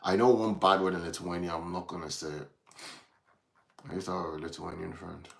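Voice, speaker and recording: Irish guy streaming, deep voice, kinda rambly and distracted, just chatting to chat. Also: fairly even intonation, fairly quick, creaky. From Ireland, from Dublin. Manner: rambling, distracted, breaking off to greet viewers. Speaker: livestream host. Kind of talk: casual livestream chat with viewers.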